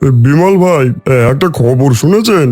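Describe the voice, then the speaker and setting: A man voicing an eager village neighbour who brings news in an animated moral story, with a gossipy manner. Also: on the high side, normal speed, soft background music. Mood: urgent.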